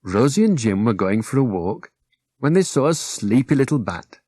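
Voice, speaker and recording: A man's voice: mid-range voice, sing-song, normal speed, warm tone. A British man reading children's stories aloud as the narrator of an audiobook. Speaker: author and narrator.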